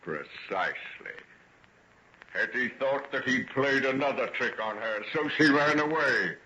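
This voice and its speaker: An actor playing a sinister, mocking gentleman with elaborate politeness in an old radio drama. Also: medium pitch, expressive. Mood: gloating narration. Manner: taunting, theatrical, drawn-out polite phrasing. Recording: dull, noisy old radio recording with clicks.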